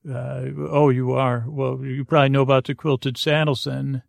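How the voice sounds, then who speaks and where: A man hosting a podcast with a creaky, gravelly voice, improvising a hesitant, meandering bedtime monologue full of tangents, close on the mic. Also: medium pitch, little pitch movement, normal speed, dry recording. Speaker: podcast host.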